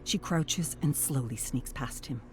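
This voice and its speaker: A narrator with a mid-range voice delivers dramatic scripted storytelling. The reading is measured and suspenseful, with faint music underneath. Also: medium pitch.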